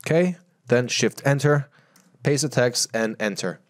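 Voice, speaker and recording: A man in his thirties presenting a screen-share tutorial, talking straight to viewers in an energetic way and reading text aloud, seated indoors at a close mic. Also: mid-range voice, a little slow. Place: indoor home studio, seated in a gaming chair.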